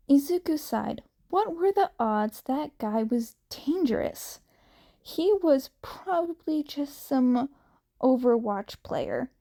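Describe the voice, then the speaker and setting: Female story reader: high, soft-toned and unhurried, with animated intonation for audiobook-style narration. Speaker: podfic reader. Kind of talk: fan fiction narration, audiobook-like.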